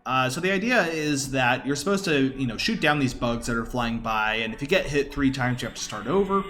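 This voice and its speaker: A man's voice: medium pitch, expressive, a little fast, fairly bright tone. A man giving casual live commentary as a speedrunner, explaining informally with wry asides.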